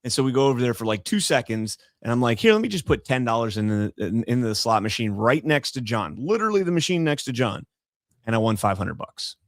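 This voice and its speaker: A man's voice: mid-range voice, fairly quick, no room sound, clean studio sound. A man hosting a podcast in casual chat, telling stories with lots of energy and singing a few lines of songs now and then. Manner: animated storyteller, sings snatches of songs. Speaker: podcast host.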